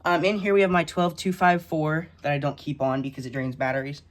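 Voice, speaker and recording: A collector casually showing items one by one in a halting, list-like way, recorded indoors on a handheld phone. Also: mid-range voice, level tone. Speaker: hobbyist collector presenting a radio collection. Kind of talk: casual show-and-tell narration of a collection. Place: indoor room with shelves of electronics. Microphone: handheld phone behind the camera.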